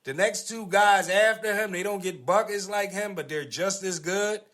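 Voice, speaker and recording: A man's voice: high voice, moderate pace, fairly bright tone. An American man hosting a casual podcast, talking in slang and prompting his co-hosts with questions. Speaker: podcast host steering the discussion.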